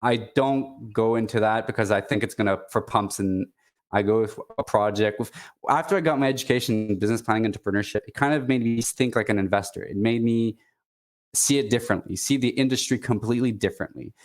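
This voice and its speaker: A man from Quebec with a Quebecois French accent, a native French speaker chatting casually as a guest, stopping and restarting often. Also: on the low side. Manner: halting, many restarts and fillers. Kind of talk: casual chat.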